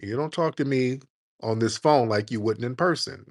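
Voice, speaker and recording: A man's voice: medium pitch, normal speed. A man hosting a live call-in stream, mocking and combative, tacking a pointed "sir" onto his jabs. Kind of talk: confrontational call-in show.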